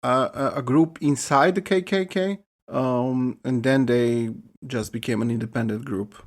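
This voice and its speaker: A man's voice: medium pitch, unhurried. Male podcast co-host, middle register, informal explanatory delivery. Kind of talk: casual explanatory discussion.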